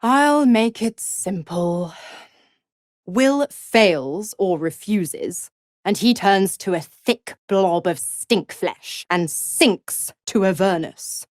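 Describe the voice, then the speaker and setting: Slinky evil she-devil from a video game, slow n condescending, voice swooping all over, super dry recording. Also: mid-range voice, expressive, no echo. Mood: sly, gloating. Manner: taunting, drawling, condescending, purring endearments like "pet". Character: silky, cruel, scheming she-devil patron, mockingly seductive. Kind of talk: theatrical video game character acting.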